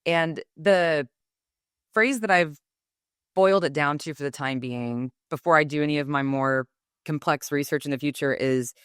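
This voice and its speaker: A young woman from Texas with a fairly low, smooth, resonant voice, recorded close and dry. She talks things through reflectively and articulately, leaning on "um" and "like" as she thinks. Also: normal speed, fairly bright tone, no room sound. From Texas, United States. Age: 20s. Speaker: guest. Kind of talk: podcast interview.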